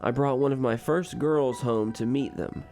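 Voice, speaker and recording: A mild, urbane college president with dry wit, acted by a man in a radio comedy. The voice is mellow and mid-pitched, the phrasing formal and eloquent. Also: moderate pace, faint music behind, natural voice. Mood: reflective. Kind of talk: radio drama acting.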